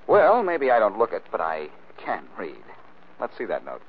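An actor playing a cool, sardonic amateur detective in the style of a 1940s radio drama. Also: male voice, lowish voice, wildly swooping pitch, moderate pace. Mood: probing, wry. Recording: muffled, faintly noisy old radio recording.

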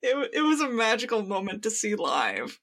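A young woman with a high, bright voice banters casually on a fan podcast. She co-hosts with playful, wry quips, in a sharp, clearly youthful tone. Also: very animated, a little fast. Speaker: podcast co-host.

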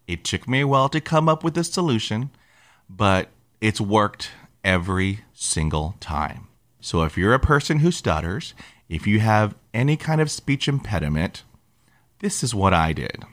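Slow-talking man with a stutter. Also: on the low side, a bit bright. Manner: stutters, laughs often, drops into whispers. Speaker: podcast host who stutters. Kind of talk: personal storytelling podcast.

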